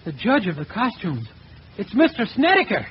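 A man's voice: high-pitched, huge pitch swings, moderate pace. A man in 1940s radio comedy, playing a nervous young man who poses as a coy Chilean señorita. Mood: anxious. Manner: coy, innocent, naively literal. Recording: noisy, dull old radio recording.

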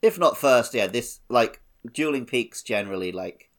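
A man's voice: mid-range voice, expressive, moderate pace. A man co-hosting a podcast, chatting casually about video games. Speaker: podcast co-host. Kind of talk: casual video game playalong chat.

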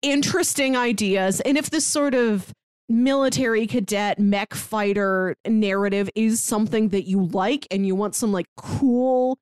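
A woman's voice: a little high, dry recording, studio quality. A woman co-hosting a podcast, chatting casually through a book review in a wry, informal way. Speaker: podcast co-host reviewing manga.

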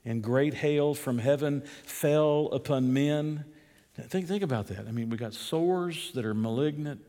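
Southern preacher in a reverberant hall. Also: male voice. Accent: Southern US (Georgia). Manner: animated, rising to emphatic peaks, drops low and slow for scripture. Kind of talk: expository sermon.

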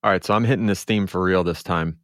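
A man's voice: low voice, quick pace, fairly bright tone, dry recording, studio quality. A man casually hosting a podcast that he also produces.

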